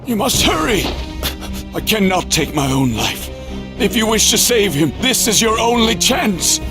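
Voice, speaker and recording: An actor playing a solemn, self-sacrificing old guardian deity in an animated game, pleading gravely and urgently. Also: male voice, high voice, unhurried, exaggerated voice. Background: music.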